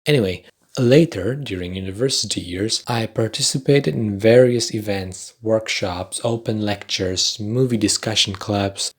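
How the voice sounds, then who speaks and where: A young man whose first language is Polish, hosting a podcast with a wry, self-deprecating, deliberately paced scripted monologue. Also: on the low side, husky. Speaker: podcast host.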